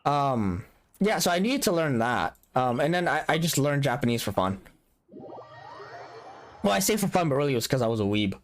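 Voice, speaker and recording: A man's voice: mid-range voice, big pitch swings, moderate pace, pauses often. A young adult man streaming a game in casual chat, joking about himself and laughing often. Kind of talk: casual live-stream chat while gaming.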